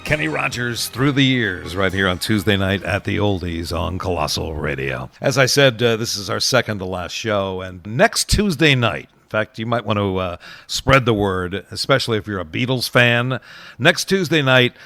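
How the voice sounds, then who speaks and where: A man's voice: on the low side, expressive, normal speed, vocal fry, bright, forceful tone, dry recording. A middle-aged man presenting an oldies radio show as its DJ, chatting casually between songs with nostalgic asides. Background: oldies music.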